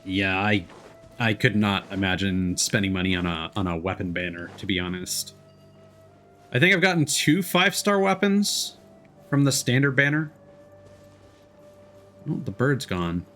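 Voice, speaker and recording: A man doing casual, chatty live game commentary, quick to react out loud. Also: lowish voice, animated, soft background music. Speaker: game livestreamer, host.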